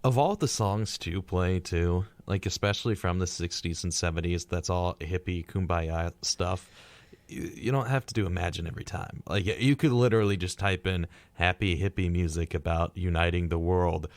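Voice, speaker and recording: Low-pitched man in casual conversation. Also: normal speed, no echo. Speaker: co-host of a retrospective radio show. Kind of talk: casual chat.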